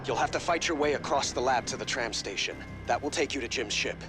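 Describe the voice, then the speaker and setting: A man's voice: medium pitch, fast, over music. An actor playing an earnest young male commander in a video game, speaking formally and urgently over radio comms. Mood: urgent, relieved.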